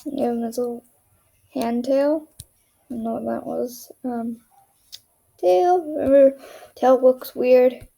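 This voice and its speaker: A young woman narrating a casual drawing tutorial in a monotone, rambling way, often dropping to a whisper as she works. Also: high-pitched, slow pace, gravelly, long pauses. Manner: monotone, rambling, self-deprecating.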